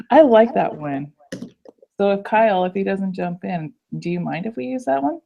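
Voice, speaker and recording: A young woman in a student group video call, hoarse from illness, chatty and apologetic with lots of ums. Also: medium pitch, expressive, normal speed, a little hiss or hum. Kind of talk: casual video call meeting. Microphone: computer mic on a video call. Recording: dull lo-fi call audio.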